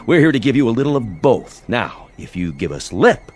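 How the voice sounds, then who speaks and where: A man's voice: mid-range voice, sing-song, moderate pace, darkish tone, soft background music, natural voice. An American man playing a domineering, mocking army major in an audio drama, talking in sarcastic, slangy, menacing lines. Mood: cold menace. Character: domineering, mocking army major enforcing order after nuclear war.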